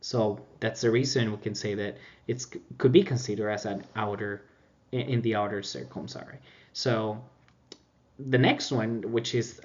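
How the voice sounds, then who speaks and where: A man from Colombia with a Colombian accent, a native Spanish speaker, giving an informal academic presentation as a student and often searching for words. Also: lowish voice. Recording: dull lo-fi audio.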